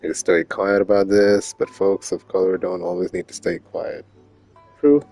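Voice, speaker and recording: A man with a fairly low voice chats casually on a game stream. His way of talking is slangy and informal, with the odd swear word. Faint music plays in the background. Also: lively intonation, normal speed, vocal fry, a little mellow. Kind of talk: casual livestream chat.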